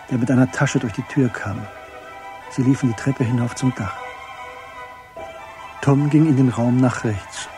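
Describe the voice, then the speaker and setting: A man narrating a scripted radio drama in a measured, matter-of-fact way. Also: mid-range voice.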